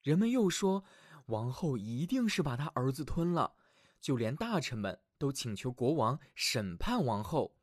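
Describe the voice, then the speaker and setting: A man tells a fairy tale as a storyteller for children. His scripted narration is dramatic and clearly phrased, with a pitch that rises and falls widely. The recording is dry and close. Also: very animated, a little slow, dry recording. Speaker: children's storyteller, show host.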